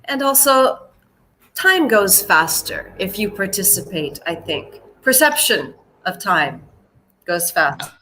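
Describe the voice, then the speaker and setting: An American woman from Seattle teaching English on a live video call, chatty and joking, slowing down when she greets her students. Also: medium pitch, very animated, bright, forceful tone, slight background noise, rough recording. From United States, Seattle. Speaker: English teacher hosting a live online class. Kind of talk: live online lesson, chatty.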